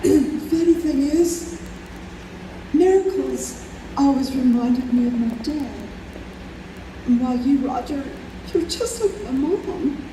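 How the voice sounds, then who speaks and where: A woman's voice: high-pitched, expressive, slow, pauses often, warm tone. A woman playing an ailing, tender-hearted mother with a weak, coughing delivery in an old time radio drama. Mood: tender, dying. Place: convention hall stage. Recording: echoey hall, distant, noisy.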